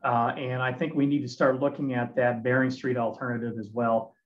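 Male committee member, average pitch, decisive and methodical, dull call line. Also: level tone, a little fast. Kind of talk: meeting discussion. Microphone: video call. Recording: dull video call audio.

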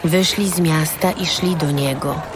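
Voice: mid-range voice, fairly even intonation, unhurried, crowd noise, background noise. A narrator reading scripted narration for a dramatised audio Bible.

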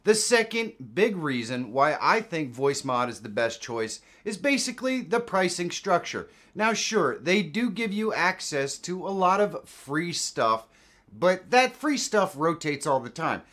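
Animated American man presenting a tutorial. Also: fairly high pitch, measured pace. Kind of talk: casual software tutorial. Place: small indoor home studio.

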